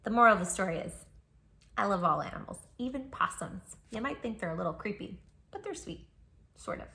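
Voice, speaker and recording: A woman's voice: mid-range voice, animated, fairly quick, creaky, pushed and bright. A woman hosting a read-aloud for young children, speaking expressively and emphatically. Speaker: children's storytime host. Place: indoors, room at home.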